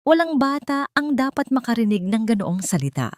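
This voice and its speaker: A woman voicing a calm but firm, earnest man in a romantic audio story, a protective guardian who speaks politely. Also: on the high side, a little fast, dry recording, clean studio sound, voice pushed up.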